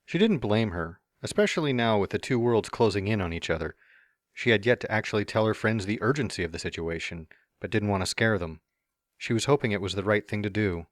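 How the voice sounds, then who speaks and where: A man's voice: on the low side, lively intonation, brisk, dry recording, clean studio sound. A man reading a fan story aloud as narrator, with playful, smug first-person asides.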